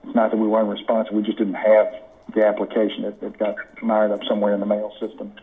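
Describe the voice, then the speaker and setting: An American man giving a formal committee report in a halting, deliberate way over a conference call. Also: lowish voice, monotone. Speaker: committee member presenting recommendations. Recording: muffled conference call audio.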